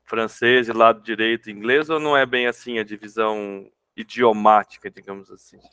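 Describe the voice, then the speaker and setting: A man's voice: on the low side, fairly even intonation, normal speed, bright, forceful tone, clean tone, dry recording. A man from Brazil with a Brazilian Portuguese accent, hosting a podcast interview in an informal, joking way with colloquial asides. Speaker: podcast host. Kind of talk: casual interview conversation.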